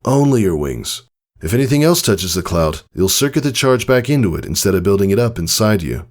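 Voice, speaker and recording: A man's voice: lowish voice, sing-song, a little fast, pitched above the performer's own voice. Man playing an earnest, patient pegasus dad. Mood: instructive. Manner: earnest, instructional, encouraging. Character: caring, patient adult male pegasus father coaching his child. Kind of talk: dramatized storybook character reading.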